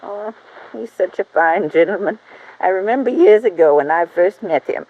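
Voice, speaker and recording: Tough old rural American mine owner, halting and folksy, animated radio-play delivery, muffled recording. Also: female voice, on the low side. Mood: wistful, pained. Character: tiny, tough old frontier mine owner, wary, sentimental. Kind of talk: 1950s radio drama acting.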